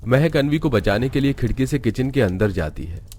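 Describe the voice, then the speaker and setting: A man's voice: mid-range voice, expressive, a little fast, a little mellow. A man reading scripted narration for a cartoon story as its narrator.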